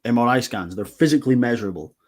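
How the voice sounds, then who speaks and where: A man's voice: medium pitch, expressive, quick pace. A man hosting a casual podcast, asking probing, skeptical questions. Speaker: podcast host.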